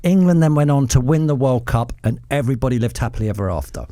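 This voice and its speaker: A man's voice: mid-range voice, animated, quick pace, warm tone, no echo, studio quality. A man hosting a lighthearted football quiz with banter, in a playful, mock-officious manner. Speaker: quiz show host.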